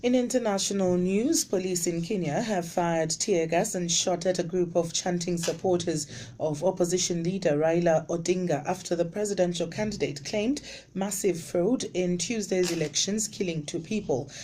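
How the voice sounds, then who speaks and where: A woman reads the radio news in a clear, measured broadcast manner. Her voice sits a little low and sounds mellow and warm. A faint hiss runs under the studio recording. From Zambia. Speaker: radio newsreader. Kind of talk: scripted news reading.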